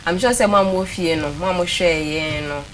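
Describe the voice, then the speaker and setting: A woman's voice: lowish voice, fairly quick. A Ghanaian woman hosting a studio talk show in a chatty style, with a Ghanaian accent. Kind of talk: studio talk show chat, mixing English with a local language. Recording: clearly noisy studio recording.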